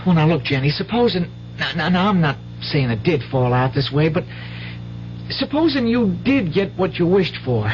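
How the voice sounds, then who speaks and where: A man's voice: fairly high pitch, very animated, normal speed, faint music behind. An actor playing a cautious, kindly teenage country boy with hesitant, folksy speech in a radio drama. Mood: uneasy. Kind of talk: 1970s radio drama acting. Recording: dull, hissy old radio broadcast.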